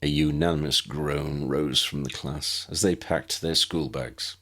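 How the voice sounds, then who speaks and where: A man narrating a scripted story for an audiobook in an expressive storytelling manner. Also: extremely low pitch, a little slow. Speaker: author narrating own fan fiction audiobook.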